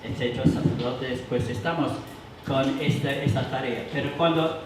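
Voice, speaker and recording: A man with a foreign accent, not a native speaker, leading a church workshop for adults, halting with many fillers and restarts. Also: mid-range voice. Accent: foreign accent in Spanish. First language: not Spanish. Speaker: workshop leader. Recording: roomy hall, mic from far, noisy.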